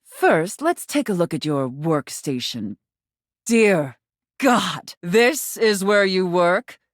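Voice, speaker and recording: Video game Santa boss: domineering, haughty, scolding; slow, deliberate delivery, close dry sound. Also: female voice, fairly low pitch, big pitch swings, dry recording. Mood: disgusted, commanding. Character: stern, domineering woman playing a demanding Santa Claus boss. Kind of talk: theatrical video game voice acting.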